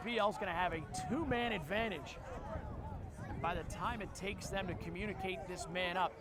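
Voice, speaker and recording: A man with a Philadelphia accent calling a lacrosse game play by play, quick and jokey, shouting on big plays. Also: high voice. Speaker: play-by-play commentator. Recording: outdoor broadcast with loud crowd and noise.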